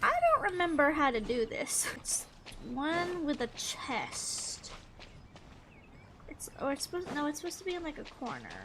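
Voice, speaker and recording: A woman hosting a gaming video with casual, chatty commentary, thinking out loud as she plays. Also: sits high, wildly swooping pitch, a little slow, noisy background.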